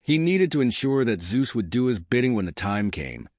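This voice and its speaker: A man's voice: medium pitch, sing-song, moderate pace, darkish tone, no room sound, clean studio sound. A man reading scripted suspense fiction as an audiobook narrator.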